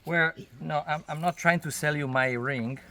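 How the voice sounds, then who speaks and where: A man who is not a native English speaker gives an informal explanatory talk to a group in a halting, emphatic way, with non-native phrasing. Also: on the high side, bright, forceful tone. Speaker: spiritual workshop leader. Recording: noisy outdoor recording, natural ambience.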